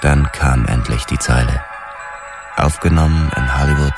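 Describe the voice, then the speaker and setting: Very deep hardboiled detective. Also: male voice, fairly even intonation, normal speed. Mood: tense, obsessive. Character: driven, obsessive male police detective narrating his investigation. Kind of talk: hardboiled first-person radio drama narration. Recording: music under the voice.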